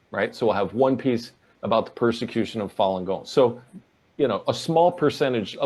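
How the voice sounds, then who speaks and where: A man's voice: medium pitch, darkish tone. Earnest male interview guest. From Portland, Oregon, USA. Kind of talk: explanatory interview answers.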